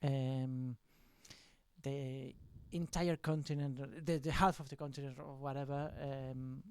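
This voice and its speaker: Slow, halting man. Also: mid-range voice, fairly even intonation, a little mellow, clean tone. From Argentina. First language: Spanish. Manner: hesitant, many fillers and restarts. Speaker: musician being interviewed. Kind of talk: casual interview chat. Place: record store at a release party.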